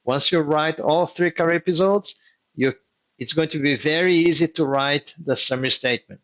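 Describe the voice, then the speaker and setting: A man whose first language is Portuguese gives advice to engineers in a live online session. His voice sits a little higher than most men's. He talks in a methodical, teaching way and comes back to his key points so they stick. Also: moderate pace, a bit bright. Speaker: engineering migration mentor hosting a workshop.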